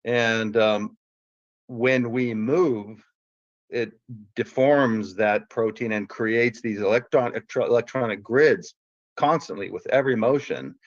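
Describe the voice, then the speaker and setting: A man's voice: medium pitch, unhurried, dry recording, lo-fi sound. A man on a call, explaining at length in a rambling way that trails off and restarts. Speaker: caller. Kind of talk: casual call-in chat.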